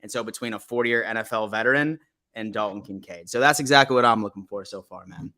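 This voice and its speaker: A man's voice: fairly low pitch, quick pace, bright, forceful tone. A man in his thirties from Buffalo, New York, hosting a casual sports call-in stream in a laid-back way. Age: 30s. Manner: laid-back, says "man" often, hypes guests.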